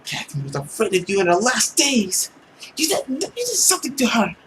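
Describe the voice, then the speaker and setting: A man's voice: high voice, wildly swooping pitch, brisk, slight background noise, voice pushed up, exaggerated voice. A man voicing a grieving, furious young girl in bitter outbursts while reading a visual novel. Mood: bitter accusing. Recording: loud music behind.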